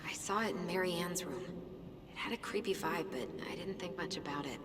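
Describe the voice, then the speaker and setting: A woman's voice: on the low side, fairly quick, a bit bright, roomy sound, big-room sound, slight background noise, close to an everyday voice. A woman playing a guarded, skeptical young woman in a naturalistic video game cutscene. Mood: cynical, subdued.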